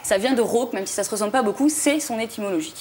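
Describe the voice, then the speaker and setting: Young french woman, studio language segment, quick, higher voice, faint hiss. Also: a little mellow. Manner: fast, explanatory, frequent "euh" and "alors", light laughs. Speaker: language columnist.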